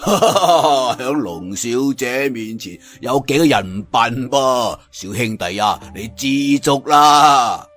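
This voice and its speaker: A man voicing a jovial, sly old hermit master in a martial arts story, with a Cantonese accent and an amused, unhurried manner. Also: mid-range voice, lively intonation, a bit bright, faint music behind.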